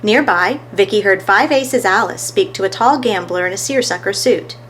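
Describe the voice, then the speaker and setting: A woman's voice: medium pitch, moderate pace, a bit bright, slight background noise. A woman reading scripted narration of a children's story as a storyteller.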